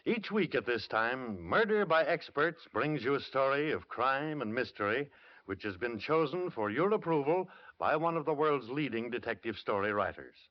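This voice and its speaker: A man hosting an old-time radio mystery show, giving a measured, formal introduction. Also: medium pitch, animated. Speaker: radio show host and narrator. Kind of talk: 1940s radio announcing. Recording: dull lo-fi old radio recording.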